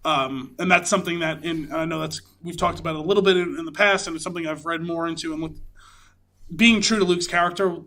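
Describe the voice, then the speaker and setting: A man's voice: on the high side, fairly even intonation, quick pace, pushed and bright. A man co-hosting a podcast, talking casually and giving his opinions on films. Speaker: podcast co-host. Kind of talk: casual film chat.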